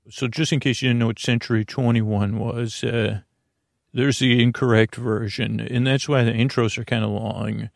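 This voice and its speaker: A man talks in a low-key, meandering ramble, stopping and correcting himself as he drifts from point to point. His voice has a gravelly edge, and it sits close and dry with no room sound. It suits a bedtime podcast host who is in no hurry. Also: dry recording. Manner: meandering, full of hesitations and self-corrections.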